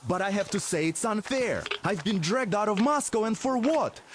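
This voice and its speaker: Cocky young comrade, game drama, very lively high voice, wry complaining, noisy recording. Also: male voice, moderate pace. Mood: playful grumbling. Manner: animated, joking complaint. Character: cocky, grumbling young male soldier comrade.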